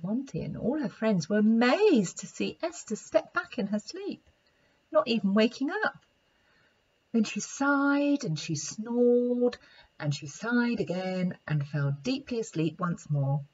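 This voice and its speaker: A woman reads a children's storybook with lively, varied intonation. She takes it slowly in a husky voice, and the recording sounds a little dull and lo-fi. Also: a little high. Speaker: storybook reader, play therapist. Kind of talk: expressive children's story narration.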